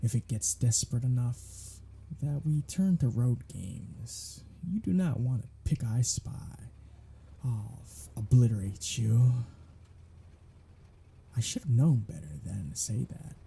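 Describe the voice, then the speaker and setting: A man's voice: medium pitch, expressive, measured pace, vocal fry, dark tone, slightly rough, exaggerated voice. A man voicing a sardonic, flirtatious male vampire held captive on a road trip, in an ASMR audio drama. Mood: teasing. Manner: teasing, sardonic, flirtatious banter.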